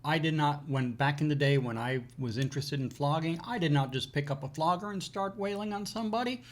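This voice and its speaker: A man speaks as a co-host in a conversational advice style. His delivery is measured and halting, broken by pauses in the middle of sentences as he shares advice and anecdotes. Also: fairly high pitch. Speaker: co-host of an educational show.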